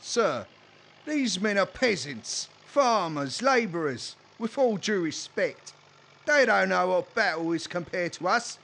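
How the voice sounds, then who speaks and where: Guy doing a cocky sneering soldier, kinda high n gravelly, radio play. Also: lively intonation, slow, bright, forceful tone, heavily acted. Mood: dismissive. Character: cocky, scornful soldier, contemptuous of his enemy.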